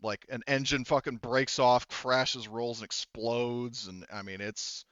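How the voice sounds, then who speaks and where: A man running a tabletop game as game master, talking casually and haltingly and swearing freely. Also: medium pitch, steady tone, fairly bright tone, no echo, lo-fi sound.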